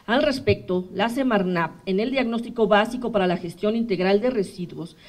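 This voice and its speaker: A woman in her thirties with a Mexican accent, reading a formal statement at a podium microphone for a human rights commission, measured and steady. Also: medium pitch. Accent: Mexican Spanish. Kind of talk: formal statement read aloud. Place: indoor press room, at a podium.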